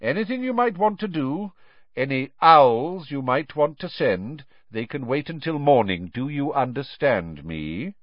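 An elderly headmaster of sharp authority acted by a male narrator in a storybook. He gives firm, measured commands with an expressive, moving pitch. The sound is close and dry. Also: slightly rough, no room sound, muffled recording.

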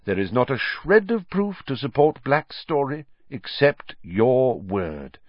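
A man playing a calm old wizard headmaster in an audiobook, quiet, measured and authoritative. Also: mid-range voice, no room sound, dull audio, pitched above the performer's own voice. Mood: urgent, quiet.

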